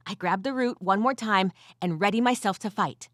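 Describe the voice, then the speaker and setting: A woman's voice: medium pitch, moderate pace, fairly bright tone, no room sound, clean studio sound, lightly acted. A woman voicing a weary but gritty furred female adventurer who tells her story in the first person with wry asides, in an audio drama style. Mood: weary, pained.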